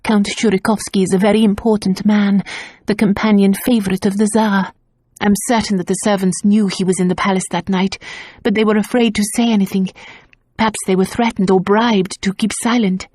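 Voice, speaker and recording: A frightened, loving young noblewoman pleads softly in a slightly husky voice. A woman narrator voices her. The sound is dry and close. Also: medium pitch, level tone, brisk, dry recording, pitched above the performer's own voice, natural voice. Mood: pleading.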